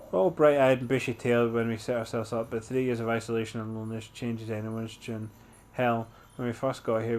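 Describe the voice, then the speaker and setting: A man's voice: mid-range voice, a little mellow, slight background noise. A man hosting a gameplay walkthrough, commenting and reading aloud in an informal way.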